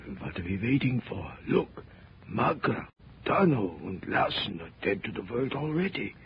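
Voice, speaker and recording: An animated male adventurer, impatient and excitable. His pitch jumps about in lively swings on a muffled, slightly noisy old radio drama recording. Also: normal speed. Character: impatient, gruff German treasure hunter; also an excitable Frenchman. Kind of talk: 1930s radio adventure serial acting.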